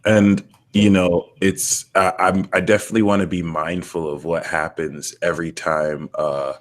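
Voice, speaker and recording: A man's voice: sits low, flat delivery, moderate pace. A man hosting a casual spiritual talk show, laid-back and affirming, using casual slang. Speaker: podcast host. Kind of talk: casual interview chat.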